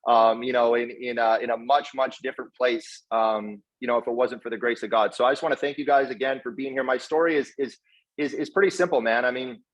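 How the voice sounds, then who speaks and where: Male, mid-pitched, casual motivational speaker, earnest and slangy, lo-fi dull recording. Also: fairly even intonation, brisk. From Canada, partly raised in South Africa. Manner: earnest, effusively grateful, slangy bro-talk with repeated words. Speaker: guest speaker, network marketer. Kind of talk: informal motivational testimonial. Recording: dull, lo-fi online call audio.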